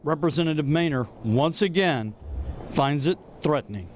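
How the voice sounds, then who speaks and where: An American man narrating a scripted TV news report as an investigative reporter, in a measured, deliberate broadcast delivery. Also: on the high side, lively intonation, very noisy.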